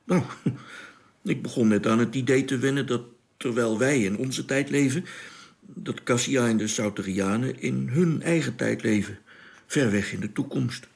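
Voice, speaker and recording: Actor as a pensive spaceship radio operator, creaky voice. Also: male voice, medium pitch, normal speed, a little mellow, natural voice. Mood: pensive, uneasy. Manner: hesitant, informal, thinking aloud. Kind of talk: radio play acting.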